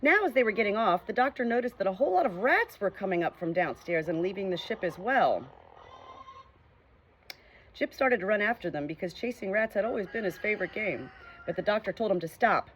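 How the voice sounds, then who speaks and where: A woman narrating a children's storybook as an audiobook, reading in a clear, steady way. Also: medium pitch, brisk, slight background noise, poor audio.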